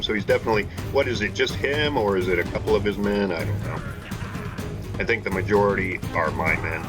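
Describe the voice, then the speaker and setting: A man giving excited live commentary over his gameplay, talking to his viewers and shouting during fights. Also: low-pitched. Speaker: gaming channel host. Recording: game music behind voice.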